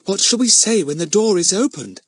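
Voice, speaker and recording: A man's voice: sits high, lively intonation, a little fast, husky, no room sound, voice pushed up. A man voicing a sensible, polite schoolgirl in a storybook, earnest and a little prim. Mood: nervous.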